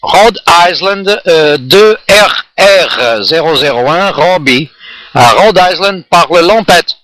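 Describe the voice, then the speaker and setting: A man's voice: on the high side, lively intonation, slow, a bit bright, a little hiss or hum. A man chatting casually and in a friendly way as an amateur radio operator during a contact.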